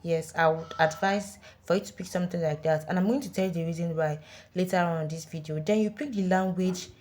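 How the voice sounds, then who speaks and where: A woman's voice: on the low side, fairly even intonation, fairly quick, darkish tone. A Nigerian woman talking viewers through an app step by step, repeating her warnings with insistent emphasis. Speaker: online earning tutorial host. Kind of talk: step-by-step app tutorial voice-over.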